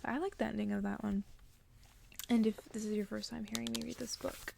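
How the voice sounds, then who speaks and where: Woman doing soft whispery asmr, mellow n kinda crackly voice, super soothing bedtime vibe. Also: a little high, fairly even intonation, brisk, vocal fry. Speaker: ASMR creator reading aloud. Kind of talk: ASMR bedtime story reading.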